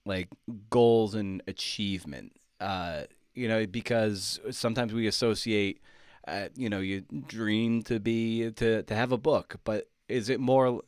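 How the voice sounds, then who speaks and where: A man with a slightly low voice hosts a conversational interview. He speaks informally, in loosely phrased thoughts, and the recording is dry with hardly any room sound. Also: measured pace, smooth, dry recording. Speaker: podcast host, interviewer.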